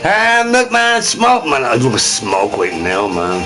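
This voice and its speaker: A man's voice: mid-range voice, wildly swooping pitch, unhurried, music in the background. A man streaming on his own, chatting casually in a rambling, profane way and laughing often. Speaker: livestreamer. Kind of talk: rambling solo stream chat.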